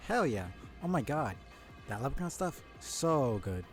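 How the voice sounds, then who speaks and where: A man voicing a pushy, flattering young female troll from a webcomic, gushing and insincere. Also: mid-range voice, unhurried, soft background music. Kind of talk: webcomic character read-aloud.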